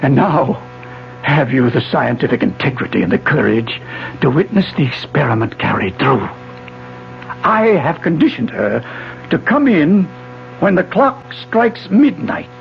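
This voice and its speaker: Intense old professor, gravelly, theatrical. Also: male voice, a little high, big pitch swings, a little slow, full character voice. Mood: fervent. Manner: formal, declamatory, insistent. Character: obsessed elderly physics professor, intense, fervent, visionary. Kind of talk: 1970s radio drama acting. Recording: dull 1970s radio broadcast, faint music.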